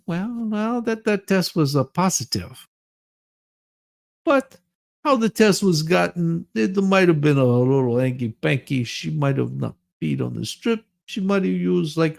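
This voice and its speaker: A man giving a mock dramatic reading with crude comic asides, in a halting, folksy, stammering delivery. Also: a little high, expressive, gravelly, darkish tone. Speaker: livestream host.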